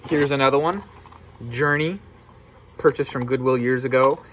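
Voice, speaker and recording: An American man hosts a casual video chat in a mid-pitched voice. He is plain-spoken and opinionated, giving his take informally. There is clear ambient noise behind him. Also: moderate pace, a bit bright. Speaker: video host. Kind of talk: casual informal video talk about collecting. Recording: clearly noisy background ambience.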